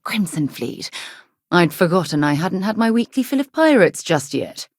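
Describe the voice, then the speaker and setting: An actress playing an earnest, principled space explorer in a video game, composed and articulate, with a dry wit. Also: female voice, fairly low pitch, very animated, moderate pace, dry recording. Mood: wry. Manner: earnest, thoughtful, dryly wry.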